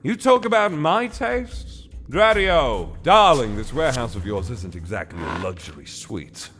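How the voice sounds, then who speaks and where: A man's voice: mid-range voice, animated, unhurried, a bit bright, soft background music. A man playing a slippery master thief in an audio drama, glib, sarcastic and flippant under threat. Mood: taunting.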